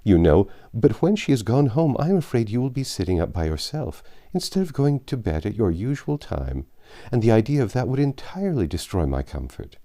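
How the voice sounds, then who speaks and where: A man's voice: lowish voice, very animated, brisk, warm tone, pitched above the performer's own voice. A man reading a storybook, voicing an affectionate young daughter who politely reassures her father. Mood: reassuring, concerned. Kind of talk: audiobook character voice.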